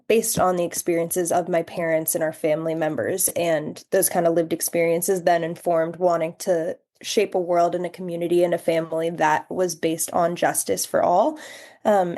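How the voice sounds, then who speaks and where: A woman's voice: on the low side, warm tone. A young adult woman, a podcast guest, giving earnest, reflective answers in long flowing sentences. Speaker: podcast guest, human rights education fellow.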